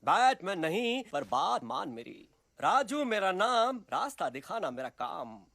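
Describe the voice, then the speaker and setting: Cartoon casting: showy bat tour guide, high animated voice, rhyming sales patter. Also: male voice, measured pace, no room sound, heavily acted. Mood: showy, confident. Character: chirpy showman bat, a self-styled tour guide. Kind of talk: animated film character acting.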